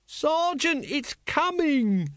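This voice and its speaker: A man's voice: a little high, big pitch swings, normal speed, darkish tone, dry recording, studio quality, voice pushed up. A man voicing an earnest, virtuous young watchman who speaks respectfully, in an audiobook story. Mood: urgent.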